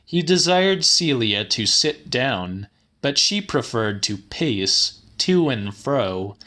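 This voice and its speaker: Slow, bright-voiced man reading dramatically. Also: lowish voice. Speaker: audiobook reader. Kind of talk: slightly dramatic literary audiobook narration.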